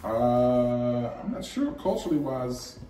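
A man's voice: mid-range voice, big pitch swings, slow pace, dark tone. A young man from Washington, D.C. cracking teasing, dry jokes as a vlog co-host in a living room. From Washington, D.C., United States. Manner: teasing, dry joking asides. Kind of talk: casual chat. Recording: echoey room from a distance, faint music.

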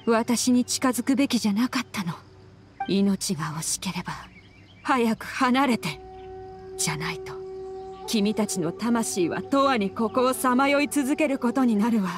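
A woman voicing a mysterious ghostly woman in a game, sorrowful and restrained, solemn and breathy, speaking in ominous murmurs. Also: fairly high pitch, animated, moderate pace, soft background music, close to an everyday voice. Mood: ominous warning. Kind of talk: Japanese game cutscene voice acting.

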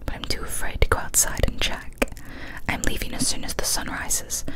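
Young woman whispering a scary story. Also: moderate pace. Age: young adult. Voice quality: breathy, unvoiced whisper. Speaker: ASMR storyteller. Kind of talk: whispered ASMR reading of a first-person horror story. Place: small cozy indoor room. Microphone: close studio condenser mic on a boom arm.

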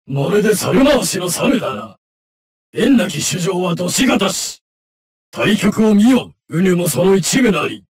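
A scornful stuffed-bear battle companion from an anime fighting game. He declaims in archaic, haughty phrases with a widely swinging, raised male pitch. The voice sits a little away from the mic. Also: sing-song, measured pace, recorded from a distance, exaggerated voice. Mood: stern, scornful.

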